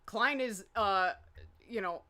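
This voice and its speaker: A woman with a bright, forward voice at a middle pitch chats casually among a group. She speaks off the cuff. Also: takes it slowly. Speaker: cast member in a panel Q&A. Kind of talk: casual group chat, unscripted.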